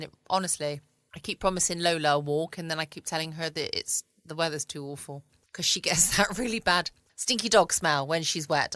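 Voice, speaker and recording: A woman's voice: low voice, a bit bright, no echo. A woman with a southern English accent, hosting a podcast from home in a casual, rambling, chatty way. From England. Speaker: podcast host. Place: at home, dry close recording.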